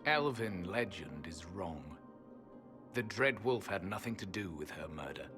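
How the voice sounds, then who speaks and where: Casting: grave, deep-voiced immortal male elf guardian, world-weary, formal fantasy-game delivery. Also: big pitch swings, a little slow, music in the background. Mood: bitter. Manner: grave, cryptic, formal pronouncements. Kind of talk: dramatic fantasy game acting.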